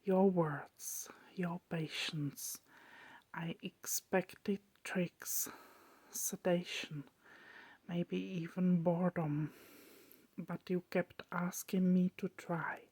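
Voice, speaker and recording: A woman voicing a weary, centuries-old male vampire, brooding and poetic, in a hushed, halting ASMR roleplay whisper. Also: on the low side, fairly even intonation, husky, no echo, exaggerated voice. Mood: reflective, wondering.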